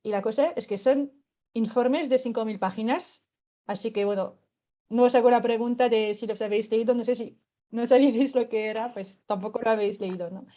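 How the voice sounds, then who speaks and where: A woman's voice: on the high side, fairly quick, dark tone, dull audio. A woman from France speaking with a French accent, patiently explaining a climate workshop as a radio guest, with many filler words and light laughs.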